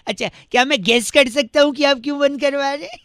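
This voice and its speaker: A man's voice: very high-pitched, normal speed, fairly bright tone, dry recording. A man making prank phone calls, mock-polite and teasing, laughing at his own jokes. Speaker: prank caller.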